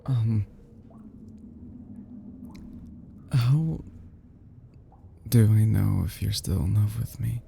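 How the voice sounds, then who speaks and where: Man acting a dark, low, lovesick obsessive young man. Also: a little hiss or hum, exaggerated voice. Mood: anxious, uneasy. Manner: halting, stammering, breathy whispers and sighs. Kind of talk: intimate ASMR roleplay acting.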